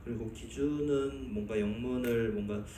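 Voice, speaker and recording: A man's voice: medium pitch, normal speed, mellow. A man, a reporter at a press conference, politely putting his questions one by one. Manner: polite, methodical, numbered questions. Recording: echoey hall from far off, faint noise.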